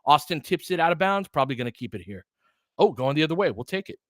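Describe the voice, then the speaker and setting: A man's voice: a little high, big pitch swings, quick pace, a bit bright, no echo, studio quality. A man doing casual live basketball commentary as a livestream host, talking informally and directly to his viewers.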